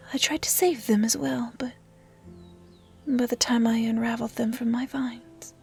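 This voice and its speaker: Woman voicing a whispery, gentle forest dryad. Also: fairly high pitch, creaky. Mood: sorrowful, tender. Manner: soft, hushed, whispery, halting and caring. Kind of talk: intimate roleplay audio drama.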